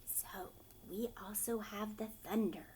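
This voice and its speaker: A woman teacher reading a storybook aloud to children, expressive, emphatic on key words and often asking questions. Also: mid-range voice, measured pace, breathy edge, low-quality recording.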